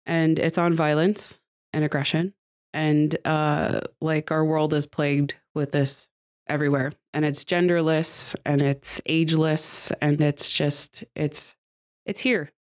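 A woman's voice: sits low, flat delivery, unhurried, a little mellow. A woman hosting a podcast conversation, a little nasal from a cold she is getting over, talking in a chatty, upbeat way. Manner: chatty and upbeat, with frequent fillers and light laughs. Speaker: podcast host. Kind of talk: casual group podcast chat. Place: seated at a table with guests.

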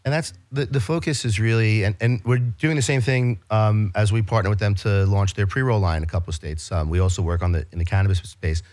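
A man's voice: steady tone, a little fast, few pauses, a little mellow. New York man explaining his business. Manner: eager, pitch-style explaining with many restarts. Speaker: business guest. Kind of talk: casual interview talk.

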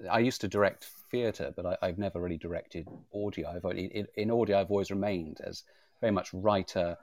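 A man's voice: low-pitched, steady tone, a little fast, a little mellow, no echo, clean studio sound. A man conducting an informal interview as a fellow writer, circling into his points with hesitant repeated starts. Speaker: audio drama writer acting as interviewer.

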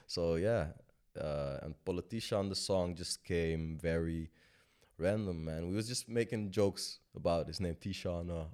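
Deep-voiced man, laid-back and rambling. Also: unhurried, clean tone. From Sudan. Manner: relaxed, rambling, laughs often, many fillers. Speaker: guest musician. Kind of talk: casual interview talk.

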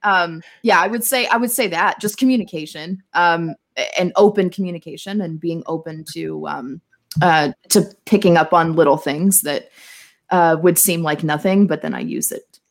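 A woman's voice: lowish voice, moderate pace. A woman chatting casually as a podcast guest, with a playful, theatrical manner.

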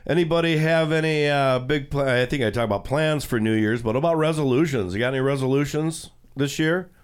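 A man hosting a casual radio talk show in a studio, talking in a rambling way full of halting restarts. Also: medium pitch, clear, resonant tone.

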